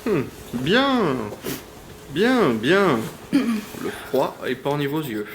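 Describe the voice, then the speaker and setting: Man playing a calm doctor, echoey room. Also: on the high side, very slow, slight background noise, exaggerated voice. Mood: matter-of-fact. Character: calm, courteous male doctor at an underwater colony hospital. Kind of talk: French audio drama acting. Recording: roomy echo, music behind.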